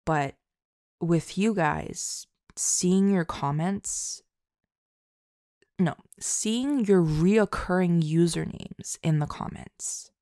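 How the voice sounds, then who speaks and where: A woman talking casually and from the heart to her listeners, halting and self-deprecating, in an informal way. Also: lowish voice, dark tone, no echo, clean studio sound. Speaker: audio roleplay and ASMR creator.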